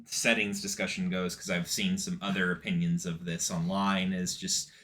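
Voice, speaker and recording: A man co-hosting a casual anime discussion, agreeable and quick to chime in with short affirmations. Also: low voice, level tone, fairly bright tone. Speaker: podcast co-host.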